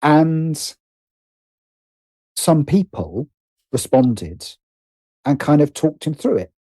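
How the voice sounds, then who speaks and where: A man speaking as an interview guest in a casual, conversational way, animated but halting, often restarting and repeating phrases as he thinks aloud. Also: a little high, no echo, low-quality recording. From Britain. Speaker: interview guest, writer and producer.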